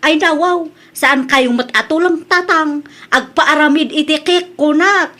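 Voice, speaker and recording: A woman's voice: high-pitched, a little slow, pushed and bright. A woman with an Ilocano accent acting a polite village woman, friend and cake maker, in an audio drama. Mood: concerned.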